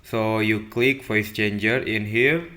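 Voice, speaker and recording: Slow, low-pitched man giving a tutorial. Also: fairly even intonation, sharp tone. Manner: simple step-by-step phrasing with frequent "okay?". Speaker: tutorial presenter. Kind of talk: instructional screen tutorial.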